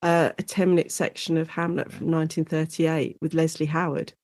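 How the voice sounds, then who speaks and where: Animated British woman, informal and very dry-sounding. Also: deep voice, brisk, dry recording. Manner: animated, informal storytelling with acted-out quotes. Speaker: guest expert, lecturer and author on Shakespeare in radio. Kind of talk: conversational interview, enthusiastic historical explanation.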